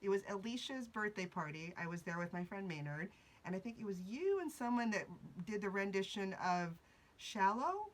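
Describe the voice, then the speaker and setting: A woman's voice: mid-range voice, expressive, moderate pace, rough recording. A woman in her forties hosting a podcast, chatting casually, laughing often and wandering off into tangents. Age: 40s. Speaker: podcast host. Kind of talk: casual chat. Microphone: podcast mic, video recorded.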